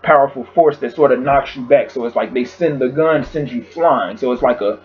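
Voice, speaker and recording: A man with a hoarse voice casually recapping a TV episode at home, rambling with lots of fillers. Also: medium pitch, brisk, dull audio. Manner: rambling, filler-heavy storytelling. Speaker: YouTube reviewer.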